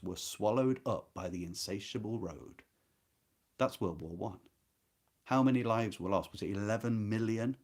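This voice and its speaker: A man hosting a literary readalong, reading and explaining with engaged emphasis, repeated key words and rhetorical questions. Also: mid-range voice. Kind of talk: book discussion with expressive read-aloud passages.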